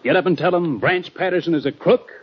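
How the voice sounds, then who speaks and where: An actor playing a skeptical townsman in an old radio drama, with a husky voice. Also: fairly high pitch, fast. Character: doubtful small-town man asked to run for sheriff. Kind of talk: 1940s radio acting. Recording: dull old radio recording.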